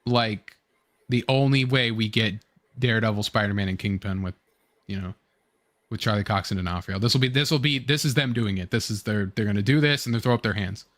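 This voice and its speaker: A young adult man hosting a casual online fan discussion, talking in a rambling, halting way with fragmented phrasing. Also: fairly low pitch, a bit bright. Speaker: online show host. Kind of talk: casual fan commentary, reading chat comments.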